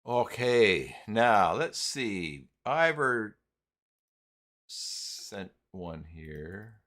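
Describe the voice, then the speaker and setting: A man hosting a casual live software tutorial, talking haltingly and muttering to himself as he works through things on screen. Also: on the low side, very animated. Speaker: live stream host, software tutorial presenter. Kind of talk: casual unscripted screen-share walkthrough.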